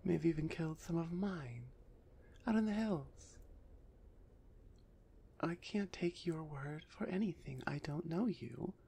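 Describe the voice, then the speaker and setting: A woman playing a big, haughty minotaur executioner in an ASMR roleplay audio drama, stern and dismissive, with a husky, deliberate delivery. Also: sits low, lively intonation, warm tone, exaggerated voice. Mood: suspicious, stern.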